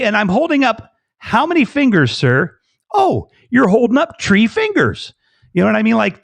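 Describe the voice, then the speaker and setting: A man from Chicago hosting a casual live chat, animated and jokey. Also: high voice, vocal fry, no room sound. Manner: animated, jokey, puts on voices. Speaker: host.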